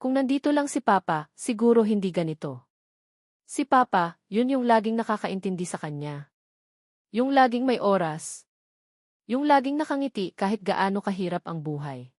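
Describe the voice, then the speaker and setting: A woman's voice: mid-range voice, animated, normal speed, pauses often, darkish tone, no echo. Female Filipino narrator for emotional audio drama, mid-range voice, very dry recording. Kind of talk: dramatic scripted story narration in mixed Tagalog and English.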